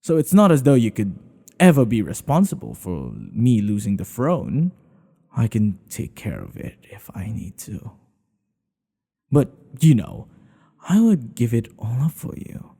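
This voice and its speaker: A man playing a tender, devoted king in an intimate ASMR roleplay, speaking in an earnest, hushed, halting way. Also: medium pitch, huge pitch swings, vocal fry, breathy edge, long reverb tail, full character voice. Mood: reassuring, devoted. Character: tender, devoted male monarch hiding a secret lover.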